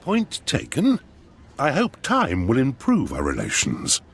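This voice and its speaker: A haughty game-villain duke with a lively, swooping delivery. His speech is formal and pompous, that of a slippery, scheming courtier. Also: medium pitch, measured pace, gravelly, breathy edge, heavily acted. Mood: ingratiating. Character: haughty, scheming male noble Sith duke. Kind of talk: video game cutscene acting.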